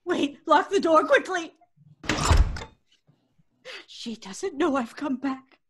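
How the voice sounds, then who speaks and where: An actress playing a terrified, pleading wife in an old-time radio drama, breathless and dramatic. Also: female voice, sits high, lively intonation, takes it slowly, noisy background. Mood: frantic. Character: terrified, pleading wife haunted by a dead witch.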